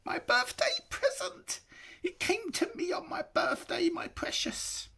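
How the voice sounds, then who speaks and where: A man voicing a wretched, whispering male cave creature who hisses, wheedles and talks to himself in a high, breathy voice. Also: big pitch swings, slow pace, pushed and bright, exaggerated voice. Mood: gloating. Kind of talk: audiobook character voice.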